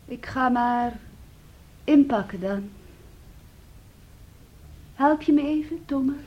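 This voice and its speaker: A woman's voice: sits high, slow, lo-fi sound. A woman acting a wounded, weary daughter in a radio play, resigned and sardonic. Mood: sad, taunting.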